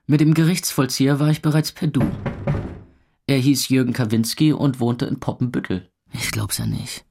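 An actor playing a hungover young club owner, narrating in a weary, sardonic, gravelly voice in an audio drama. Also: male voice, mid-range voice, fairly even intonation, moderate pace, a little mellow, close to an everyday voice. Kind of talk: first-person scripted narration in audio drama.

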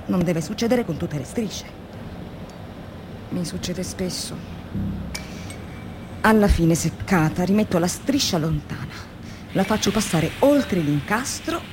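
Attrice che fa una operaia angosciata, registrazione rumorosa. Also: female voice, on the low side, noisy background, lightly acted. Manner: dramatic, shifting between hushed and vehement. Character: anguished, exhausted woman factory worker confiding her diary. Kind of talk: theatrical radio drama monologue.

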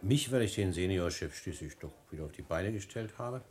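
An actor playing a worldly, teasing family doctor in a radio drama, with a dry, deliberate, ironic manner. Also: male voice, deep voice, animated, darkish tone. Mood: dry irony. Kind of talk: German radio drama acting.

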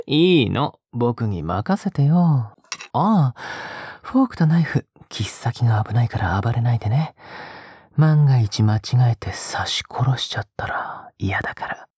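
Male actor for a possessive, doting young man in audio drama: very breathy, close and dry, swooping intonation. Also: mid-range voice, huge pitch swings, slow, no echo, heavily acted. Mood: possessive, menacing tenderness. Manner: soft, coaxing, often dropping to a breathy whisper. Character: possessive, sickly-sweet young male captor doting on a pet-like girl. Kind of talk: intimate audio drama acting, spoken to the listener.

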